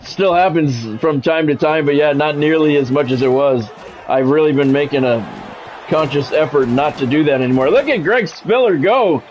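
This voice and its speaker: A man's voice: a little high, a little mellow, slight background noise. A man live streaming a football video game, mixing casual, blunt chat with play-by-play and often repeating his words as he goes. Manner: halting, repeats words and phrases.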